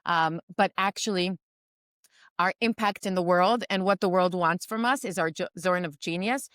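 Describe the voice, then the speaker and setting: A woman's voice: lowish voice, moderate pace, fairly bright tone, no room sound, clean studio sound. A woman in her forties from New York, a guest on a video-call interview, telling her story reflectively with many ums and restarts. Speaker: guest entrepreneur and mentor. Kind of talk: remote interview conversation. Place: home in Israel during a storm.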